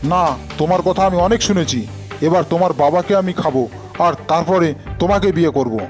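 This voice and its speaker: A man's voice: fairly high pitch, normal speed, faint music behind, lightly acted. A man voicing a jealous, imperious demon king in a fairy-tale cartoon, with a grave, declamatory delivery. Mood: threatening.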